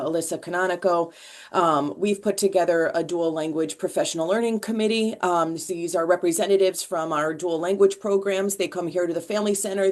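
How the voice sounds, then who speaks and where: A woman presenting at a school committee meeting, measured and pausing often with fillers. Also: on the low side, darkish tone. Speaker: multilingual learner department staff presenter.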